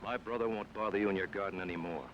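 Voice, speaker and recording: A man's voice: fairly low pitch, quick pace, fairly bright tone, a little hiss or hum, lightly acted. A man playing a brooding, commanding outlaw. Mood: cold. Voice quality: curt, commanding. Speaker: outlaw leader. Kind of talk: 1940s radio acting. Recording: dull old radio broadcast.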